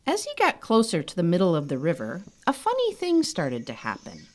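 A woman reading a children's story as narrator, expressive and dramatic. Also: on the low side, a little fast. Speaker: author reading own storybook.

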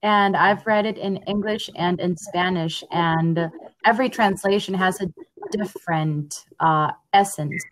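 A young woman from Honduras talking on a headset over a video call, thoughtful and pausing with ums. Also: lowish voice, rough recording. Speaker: language learner. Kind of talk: casual video call conversation. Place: home room.

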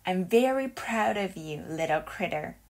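A woman voicing a caring, gentle critter mother in a storybook, with tender, careful phrasing. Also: lowish voice, lively intonation, measured pace. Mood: proud.

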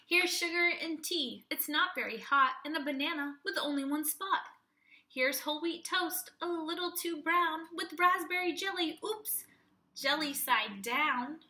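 A young woman reading a children's picture book aloud like a teacher, in an expressive sing-song way. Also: sits high, a little slow. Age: young adult.

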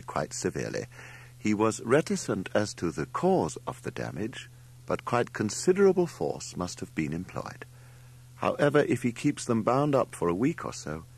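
A man voicing a courteous, meticulous gentleman scientist reading out his letter in an audiobook, formal and diffident. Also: fairly low pitch, vocal fry, husky, exaggerated voice.

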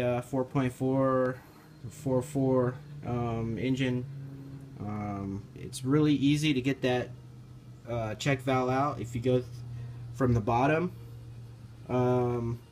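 A man giving a casual, hands-on repair walkthrough in an informal, halting, hesitant way, recorded on a handheld camera. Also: mid-range voice. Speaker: amateur car repair video maker. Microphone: handheld camera held over the engine. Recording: noticeable background noise, amateur handheld camera.

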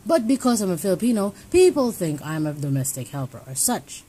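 A woman's voice: mid-range voice, very animated, fairly quick, mellow, slight background noise. A young woman from Hong Kong talking casually at home in a personal vlog, rambling and restarting her sentences as she thinks aloud. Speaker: vlogger. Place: home, indoors.

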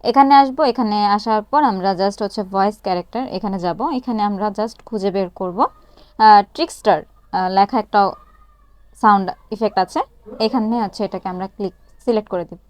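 A woman's voice: mid-range voice, brisk, a little mellow, smooth, no echo. Woman presenting a tutorial, dry close sound. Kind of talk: casual step-by-step phone app tutorial.

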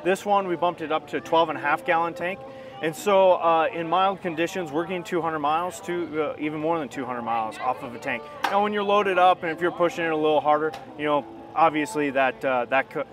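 A man's voice: on the high side, fairly quick. A man in his thirties, a company representative explaining a product informally in an outdoor interview on a clip-on mic. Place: outdoors at a busy expo ground. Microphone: wireless clip-on mic.

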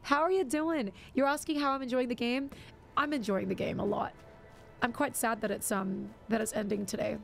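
Casting: young woman streamer, a touch high, gravelly, chatty with viewers, faint music behind. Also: steady tone, normal speed. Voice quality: scratchy. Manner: chatty, stammering restarts, reacting aloud. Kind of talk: casual live-stream chat while gaming.

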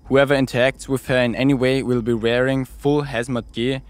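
An actor plays a male spaceship leader in an audio drama. He is stern and cautious, giving curt, authoritative and sardonic lines at a medium pitch. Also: flat delivery, normal speed, close to an everyday voice. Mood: resigned, commanding.

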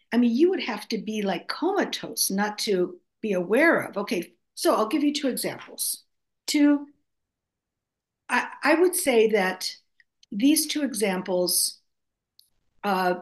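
An older woman whose first language is Greek, speaking as an author guest over a video call, telling stories in a reflective way with many restarts. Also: a little high. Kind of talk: conversational storytelling. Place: home room, video call.

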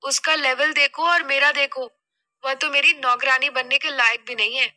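A scheming, money-minded middle-aged mother played by a woman from an audio drama. She scolds and nags with exasperation in a high, forceful, very bright voice. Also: fairly even intonation, normal speed. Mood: nagging.

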